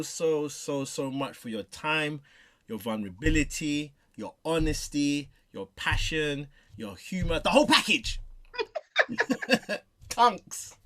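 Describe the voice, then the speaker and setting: A man from Southeast London with a London accent, hosting a faith interview in a playful, laughing, animated way. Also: medium pitch, slight background noise. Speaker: host. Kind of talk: interview host, casual chat.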